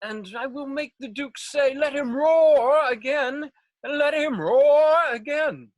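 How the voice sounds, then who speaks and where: A woman's voice: high voice, slow, a little mellow, dry recording, muffled recording. A woman playing a self-important, overeager male weaver in a Shakespeare read, declaiming theatrically. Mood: showing off. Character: Nick Bottom, a bombastic, overeager male weaver and amateur actor. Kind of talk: Shakespeare table read over video call. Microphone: video call, close.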